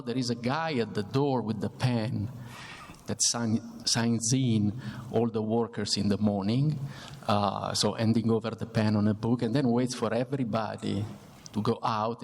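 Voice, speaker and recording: A man's voice: fairly low pitch, vocal fry. A man with an Italian accent speaking as an executive on a semi-formal discussion panel. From Italy. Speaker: panelist, chief information officer. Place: event room before an audience. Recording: reverberant hall, faint background noise.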